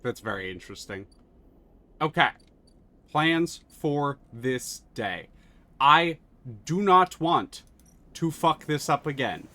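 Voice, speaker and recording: A man playing a video game on stream, thinking his moves through aloud and swearing when excited. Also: on the high side, slow, pauses often.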